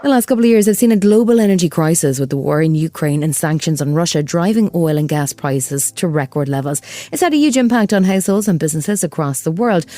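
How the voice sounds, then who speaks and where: Low, mellow woman presenting news. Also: no room sound. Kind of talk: scripted news narration.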